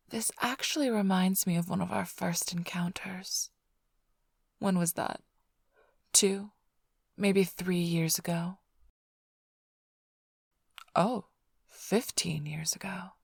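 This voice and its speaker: A woman as a breathy, languid flirtatious vampire thief. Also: fairly low pitch, a little mellow, no echo, clean studio sound, exaggerated voice. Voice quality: breathy, often sinking into whisper. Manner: teasing, languid, mock-affectionate drawl. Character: flirtatious immortal female vampire thief, teasing and smug. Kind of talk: ASMR roleplay, addressing the listener.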